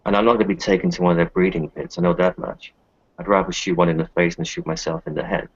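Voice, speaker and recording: A man acts out a defensive doctor set on arming himself. The voice is very low and rough-edged, talking in character in an improvised game, over dull lo-fi audio. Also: level tone, quick pace, exaggerated voice. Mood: defensive, determined. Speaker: player in an online roleplaying session. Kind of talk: in-character improvised roleplay talk.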